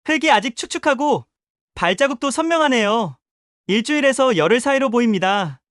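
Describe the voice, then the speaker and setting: A woman's voice: fairly high pitch, lively intonation, fairly quick, no room sound, voice pushed up, lightly acted. A woman voicing a polite police detective in an audio drama, formal and questioning, recorded dry. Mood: businesslike.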